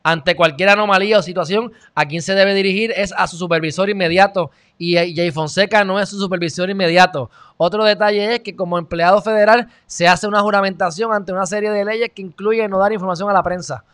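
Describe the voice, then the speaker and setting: Animated Puerto Rican male host, bright tense tone, close-miked, chatty delivery. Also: sits high, brisk, few pauses. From Puerto Rico. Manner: animated, gestures widely, shifts loud and quiet. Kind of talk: live stream chat, reading viewer comments. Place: small indoor studio. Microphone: studio mic on stand, close.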